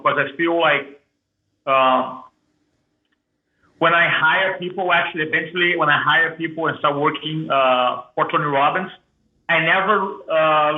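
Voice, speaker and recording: Over a phone-like line in a roomy space, a man speaks with a thick Brazilian Portuguese accent, his voice pitched a little high. He is an interview guest telling his story with energy and often restarts his words. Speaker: guest, public speaking coach.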